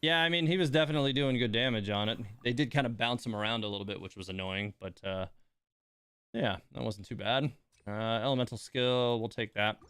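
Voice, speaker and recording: A man's voice: medium pitch, brisk, a bit bright, clean tone, no echo, clean studio sound. A man giving casual live game commentary, thinking aloud in broken, hesitant phrases. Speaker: game livestream host.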